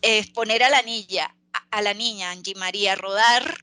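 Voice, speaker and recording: A Venezuelan woman speaking earnestly as an interview guest, pausing and restarting often. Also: mid-range voice, bright, forceful tone, dry recording. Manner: earnest, halting with many restarts and fillers. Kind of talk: interview over a call.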